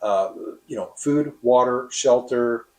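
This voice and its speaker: Halting, deliberate man being interviewed. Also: mid-range voice, rough recording. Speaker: radio operator being interviewed. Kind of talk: interview explanation and anecdote.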